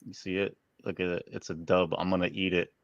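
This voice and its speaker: A man's voice: deep voice, little pitch movement, brisk, dull audio, crowd noise. A man co-hosting a sports podcast, chatting casually with emphatic opinions and some swearing.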